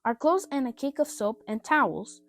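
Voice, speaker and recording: Woman doing a bossy but kind big sister in a storybook, polite, high lively voice. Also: moderate pace, no echo, soft background music. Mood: practical. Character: caring, polite, take-charge orphan girl, older sister.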